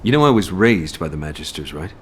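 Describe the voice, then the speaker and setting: A determined soldier from a video game, fairly low in pitch. He is terse and resolute, used to giving orders on missions. A faint rumble sits behind his voice. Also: sing-song, a little fast. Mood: bitter, resolute. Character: determined, battle-hardened male soldier leading a covert mission.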